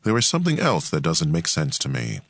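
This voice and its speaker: Deep, creaky-voiced man narrating scripture. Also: wildly swooping pitch, fairly quick, a bit bright, no echo, clean studio sound. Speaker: audio Bible narrator.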